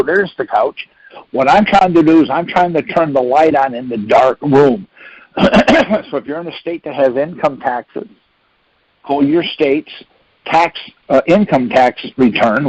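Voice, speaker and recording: A man's voice: a little high, dry recording. A man leading a conference call, giving an informal instructional talk in a folksy way, with rhetorical questions and frequent check-ins. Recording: dull lo-fi conference call audio.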